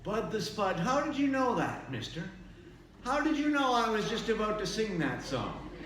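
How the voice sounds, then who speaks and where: A man's voice: high-pitched, sing-song, normal speed, background noise. A man who entertains as a touring singer and show host, chatting casually in a folksy way as in a travel vlog or between songs.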